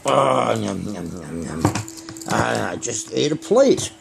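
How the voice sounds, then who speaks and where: A man voicing a greedy, gleeful garbage can in a children's puppet show, speaking slowly and repetitively. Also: lowish voice, very animated, creaky, soft background music, voice pushed down, exaggerated voice. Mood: greedy, savoring. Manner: slow, repetitive, savoring delivery.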